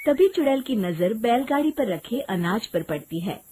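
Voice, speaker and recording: A woman's voice: fairly high pitch, big pitch swings, moderate pace, loud music behind the voice. A woman narrating a scripted children's cartoon story.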